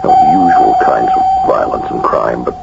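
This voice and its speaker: Deep, reassuring radio drama doctor. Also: male voice, huge pitch swings, normal speed, creaky, loud music behind the voice, exaggerated voice. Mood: soothing, firm. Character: reassuring, gently firm male doctor and family friend. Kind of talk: old-time radio drama acting. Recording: muffled, noisy old radio recording.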